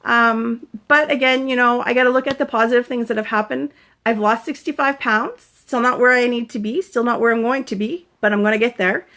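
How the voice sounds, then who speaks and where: Chatty woman in her forties, slightly high voice. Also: fairly even intonation, brisk. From Canada. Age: 40s. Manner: chatty, earnest, breaks off to greet viewers brightly. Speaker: fitness coach sharing concussion recovery. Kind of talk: casual live-stream vlog, greeting viewers.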